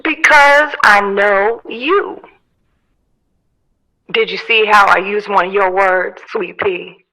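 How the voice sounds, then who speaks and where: A woman's voice: medium pitch, fairly even intonation, slow pace, long pauses, sharp tone, low-quality recording. An actress playing a glamorous, teasing star of the 1960s in an audio drama, refined and coy in her delivery. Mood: coy, amused. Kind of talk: period audio drama dialogue.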